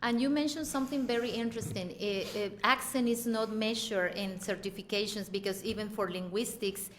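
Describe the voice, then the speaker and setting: A Mexican woman from Chiapas, an English teacher speaking at a panel, animated, often laughing and repeating words, with a Spanish accent. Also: mid-range voice, fairly quick, a little hiss or hum. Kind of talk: panel discussion.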